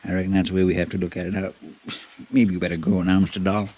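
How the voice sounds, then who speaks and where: A man's voice: low voice, level tone, rapid-fire. An actor playing a nervous, deferential male ranch hand in a 1950s radio drama, polite and full of sirs. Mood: uneasy. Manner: polite, nervous, calls everyone sir. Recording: dull lo-fi old radio transcription.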